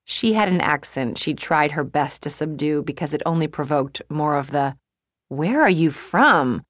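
A woman narrates first-person fiction in a low-pitched voice, recorded close and dry. She reads from a script as an audiobook narrator. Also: moderate pace, dry recording.

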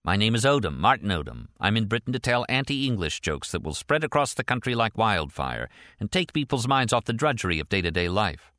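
A man voicing a wry, unflappable private detective who answers with deadpan, feigned innocence. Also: fairly low pitch, a little fast, hardly any pauses, no room sound, clean studio sound.

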